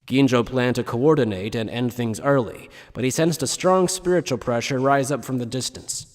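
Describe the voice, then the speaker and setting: A man's voice: mid-range voice, normal speed. A man reading scripted dramatic narration for an anime fan dub.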